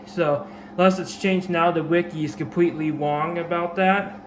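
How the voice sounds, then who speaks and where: Raspy man rambling over gameplay. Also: a little high, fairly even intonation, normal speed. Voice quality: slightly raspy. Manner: rambling, many fillers and restarts. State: sore, dry throat. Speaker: gaming video creator. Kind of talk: casual game tutorial commentary. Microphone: camera mic. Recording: echoey room with background noise.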